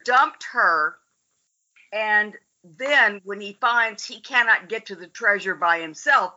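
American woman, measured and dry, bright tone, muffled recording. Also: mid-range voice, expressive. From United States. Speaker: podcast guest, lawyer. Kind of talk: casual podcast chat.

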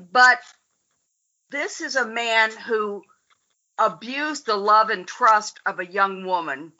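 American lady, lawyer, dry and wry, bright voice, lo-fi muffled audio. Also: on the high side, slow pace. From United States. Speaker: podcast guest, lawyer. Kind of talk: casual podcast chat.

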